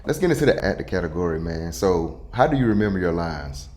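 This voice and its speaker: Low-voiced man, casual interviewer. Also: very animated, fast talker, a little mellow. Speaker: podcast host, interviewer.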